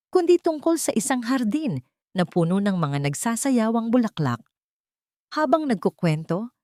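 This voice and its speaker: A woman narrating a drama audiobook in an expressive storytelling manner. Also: fairly low pitch, dry recording, clean studio sound.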